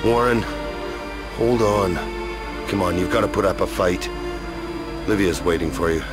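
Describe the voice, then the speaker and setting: A man's voice: sits low, a little slow, loud music behind the voice. A man playing a blunt, tough, loyal action hero in a video game cutscene. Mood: concerned. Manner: blunt, gritty, swearing.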